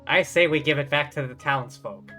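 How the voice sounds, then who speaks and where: A game streamer making casual, dry, drawn-out asides during a livestream. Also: mid-range voice, music in the background.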